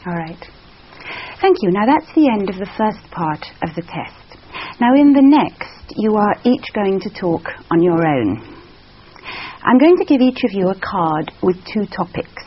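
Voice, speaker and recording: A woman examiner asking interview questions in a measured, encouraging way with frequent backchannels. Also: medium pitch, lively intonation, husky. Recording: dull lo-fi sound.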